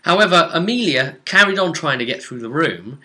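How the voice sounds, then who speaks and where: Bright-voiced man telling stories theatrically. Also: on the high side, animated. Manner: theatrical, dramatic emphasis and drawn-out words. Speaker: game master recapping a tabletop session. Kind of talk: animated storytelling vlog.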